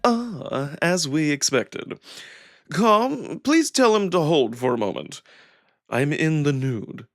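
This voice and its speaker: A man voicing a suave young jackal prince who speaks in a measured, smug, theatrically polite way. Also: a little high, big pitch swings, fairly bright tone, no echo, studio quality, soft background music, exaggerated voice. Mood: composed, sly.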